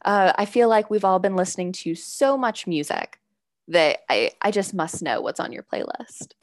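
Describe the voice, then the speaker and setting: A woman's voice: medium pitch, expressive, moderate pace. A young woman hosting an interview podcast in an upbeat, chatty way. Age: young adult. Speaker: podcast host.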